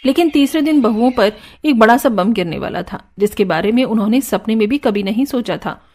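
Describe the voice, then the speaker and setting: A woman narrating a cartoon story in an even storytelling manner. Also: fairly high pitch, fairly quick. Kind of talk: scripted narration.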